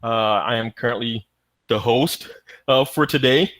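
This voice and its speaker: A young man from the United States hosts a student cultural event. His voice sits at a middle pitch, and he talks loosely, stopping often to search for words. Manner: relaxed, halting, many uhs. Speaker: host of an online student event. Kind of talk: casual hosting with hesitant fillers.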